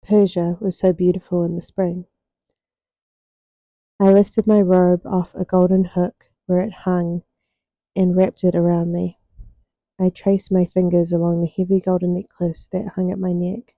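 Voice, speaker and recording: A young woman reading her own fiction aloud as soft ASMR narration, unhurried, with deliberate pauses. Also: on the low side, little pitch movement, no room sound, dull audio.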